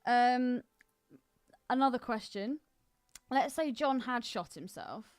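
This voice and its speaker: A woman's voice: fairly high pitch, expressive, takes it slowly, no echo. A woman co-hosting a podcast in casual film discussion, speaking in an opinionated, argumentative manner. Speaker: podcast co-host.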